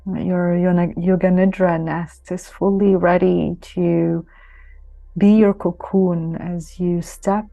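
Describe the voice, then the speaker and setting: Female yoga nidra guide: soothing, unhurried, creaky at the edges, recorded close and dry. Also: lowish voice, level tone, no echo. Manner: soothing, unhurried, with long pauses. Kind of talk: guided meditation. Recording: close dry voice over soft music. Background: soft music.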